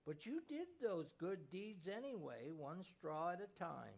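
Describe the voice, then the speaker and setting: A man voicing a gentle mother who speaks softly and tenderly to her son. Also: sits high, big pitch swings, slow, creaky, heavily acted. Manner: soft, tender, at times firm.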